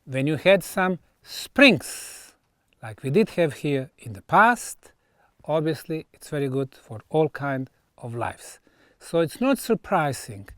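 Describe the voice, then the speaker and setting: Slow, expressive man presenting, non-native English. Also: a little high, dry recording, clean studio sound. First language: not English. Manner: deliberate phrasing with emphatic pauses. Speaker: researcher and presenter. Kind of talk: educational talk on archaeology, delivered on site.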